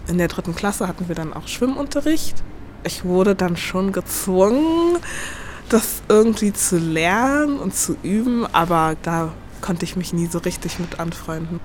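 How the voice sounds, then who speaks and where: A woman's voice: on the low side, animated, moderate pace, darkish tone, slight background noise. A woman in her thirties telling her story candidly in an interview. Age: 30s. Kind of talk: interview answers.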